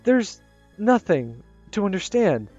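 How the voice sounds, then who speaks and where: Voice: mid-range voice, big pitch swings, slow pace, darkish tone, breathy edge, no room sound, lo-fi sound, faint music behind, voice pushed up, heavily acted. A gamer reading a visual novel aloud, voicing a shy teenage schoolgirl who pleads with a wavering voice. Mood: upset.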